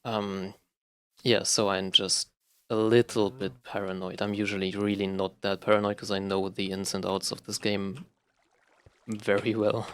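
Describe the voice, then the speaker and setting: A man's voice: on the low side, little pitch movement, a little slow, smooth, no echo. A young man from Germany with a German accent, talking unscripted and rambling while he plays a game, with many fillers and restarts. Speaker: gaming vlogger. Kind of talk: casual unscripted talk while playing a game.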